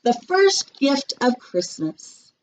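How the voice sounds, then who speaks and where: Brief: generous old Christmas gift-giver read by a woman, storybook style, deliberate, commanding proclamations. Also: high voice, big pitch swings, pitched above the performer's own voice, full character voice, poor audio. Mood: proclaiming.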